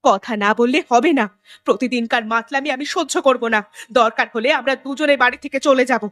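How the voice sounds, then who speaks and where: A woman's voice: a little high, brisk, fairly bright tone, dry recording, natural voice. A woman voicing an embittered, defiant village wife and mother in a cartoon, scolding as she goes. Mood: angry defiance. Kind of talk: cartoon drama voice acting.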